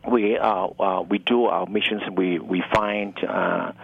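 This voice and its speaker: Halting middle-aged Hong Kong man, low voice. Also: fairly even intonation, gravelly, slightly rough, no echo, phone-quality sound. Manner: halting, fragmented phrasing. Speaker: university dining director, interview guest. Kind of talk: conversational radio interview.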